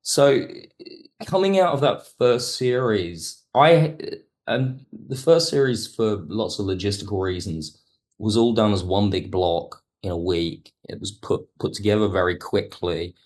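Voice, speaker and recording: A man with a low-pitched voice talks as a podcast guest. He is reflective and analytical, building explanations step by step and softening his points with careful qualifications. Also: a little slow. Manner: thoughtful, analytical, hedging. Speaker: podcast guest, audio drama writer. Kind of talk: reflective interview talk.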